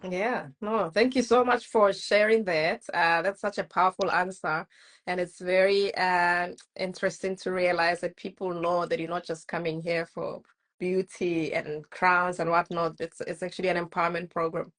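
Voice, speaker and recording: A woman's voice: on the low side, flat delivery, normal speed, fairly bright tone. A woman co-hosting a live online interview, polite and encouraging as she asks her questions. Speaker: co-host interviewer.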